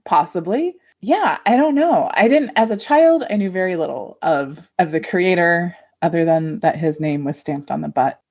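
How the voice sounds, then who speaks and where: A woman's voice: on the low side, lively intonation, moderate pace, hardly any pauses, darkish tone. A middle-aged woman co-hosting a podcast, chatting casually and reminiscing in an informal, reflective way. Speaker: podcast co-host. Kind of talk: casual chat.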